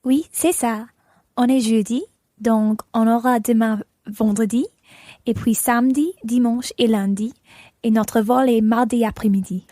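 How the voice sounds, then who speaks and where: A woman playing a friendly tourist on holiday in a scripted lesson radio play, with measured, clear dialogue delivery. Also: on the high side, fairly even intonation, mellow, no room sound, studio quality, lightly acted. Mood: friendly, matter-of-fact.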